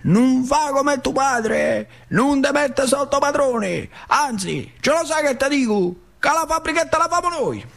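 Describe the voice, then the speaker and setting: Man acting a high-pitched, fiery rebellious uncle. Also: animated, a little slow, gravelly, few pauses, a bit bright, full character voice. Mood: urging. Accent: central Italian, Lazio dialect. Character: fiery rebellious working-class uncle, urging and indignant. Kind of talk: theatrical monologue.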